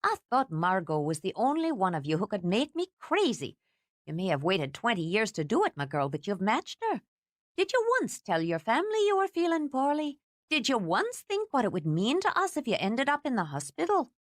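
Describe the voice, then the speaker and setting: A woman's voice: on the high side, sing-song, normal speed, no room sound, studio quality, voice pushed up. A woman voicing a stern, bossy older housekeeper who scolds and lectures out of fierce fondness. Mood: scolding.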